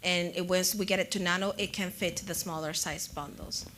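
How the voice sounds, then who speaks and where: A woman with a fairly low voice explains her product to a panel in a large conference room. She talks with many fillers, and faint hiss runs behind her. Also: fairly even intonation, moderate pace, fairly bright tone. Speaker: co-presenter. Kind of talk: answering panel questions.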